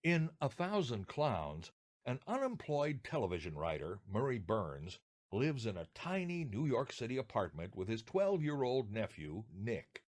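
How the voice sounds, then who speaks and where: A man's voice: mid-range voice, very animated, a little slow. A man co-hosting a podcast, reading scripted introductions. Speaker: podcast co-host.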